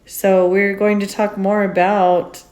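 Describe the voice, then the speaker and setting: A woman hosting a casual podcast, chatty and upbeat, with lively emphasis as she interviews a guest. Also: mid-range voice, slow. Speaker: podcast host. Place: indoors on a road trip in Utah mountains.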